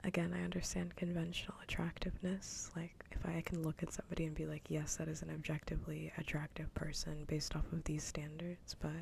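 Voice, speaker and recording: A woman streamer chatting casually in a soft, breathy whisper, rambling along in ASMR style on a livestream. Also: low voice, completely flat delivery, brisk, few pauses, slight background noise. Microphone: close ASMR microphone.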